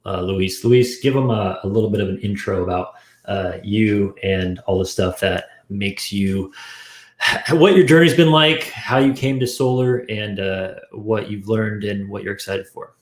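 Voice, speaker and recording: Guy with a lowish voice doing a casual podcast interview, pretty echoey. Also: unhurried. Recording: echoey room sound.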